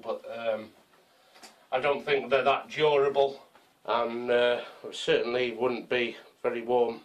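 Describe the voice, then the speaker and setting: A man in his fifties talks slowly through his camping kit, pausing often with ums and restarts. He sits a few feet from the camera in a small conservatory, so his voice sounds a little distant. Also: mid-range voice, a bit bright. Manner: unhurried, rambling, with frequent ums and restarts. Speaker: survival gear vlogger. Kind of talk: casual show-and-tell. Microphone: camera mic from a few feet away.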